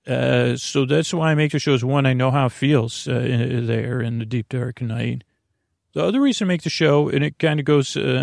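A man hosting a bedtime podcast in a creaky, lulling voice, meandering and repeating himself with halting pauses. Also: mid-range voice, a little mellow, no echo, studio quality. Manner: soothing, lulling. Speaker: podcast host. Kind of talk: rambling bedtime podcast monologue.

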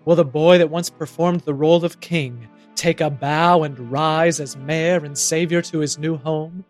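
A man's voice: fairly high pitch, steady tone, measured pace, faint music behind, lightly acted. A man playing a meek, earnest amateur playwright with a theatrical streak in a comedy audio drama. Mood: dramatic, earnest. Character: meek, earnest amateur playwright performing his own play.

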